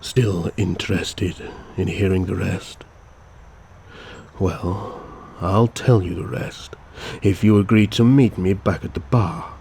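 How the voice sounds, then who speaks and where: Guy voicing a gravelly vampire count, deep-ish, slow and super polite. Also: slight background noise, full character voice. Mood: gentle. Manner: slow, formal, calm and politely threatening. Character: courteous, menacing vampire count. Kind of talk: first-person storytelling and audio drama.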